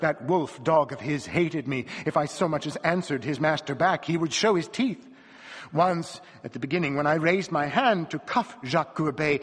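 A man's voice: a little high, slightly rough. A man voicing a gaunt, weary peasant woman who laments her lot in a plaintive, dramatic way, as part of a story reading. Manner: lamenting, dramatic, sighing old-fashioned speech. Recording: reverberant hall with long echo.

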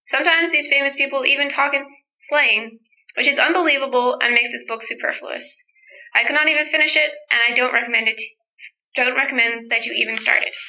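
A woman's voice: high-pitched, steady tone, a little fast, pushed and bright, poor audio. A teenage girl giving a prepared book review for a podcast. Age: teen.

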